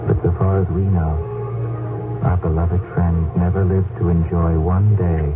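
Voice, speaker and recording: A man's voice: deep voice, level tone, unhurried. Marcus, an anxious grieving young nobleman. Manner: urgent, rising pleas. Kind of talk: 1970s radio drama acting. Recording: tinny lo-fi old radio broadcast with music, heard from a distance.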